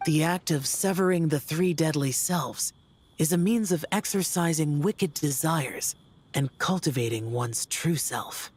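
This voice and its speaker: A woman voicing a stoic, youthful male immortal warrior in a video game, husky, solemn and measured, with formal phrasing. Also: deep voice, darkish tone. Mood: solemn, reflective.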